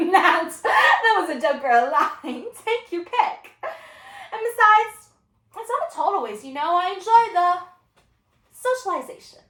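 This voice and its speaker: A woman's voice: very high voice, very animated, takes it slowly, sharp tone, echoey room, voice pushed up, full character voice. A woman playing a brash, flippant young female student in a solo dramatic performance, with slangy, theatrical delivery. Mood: taunting.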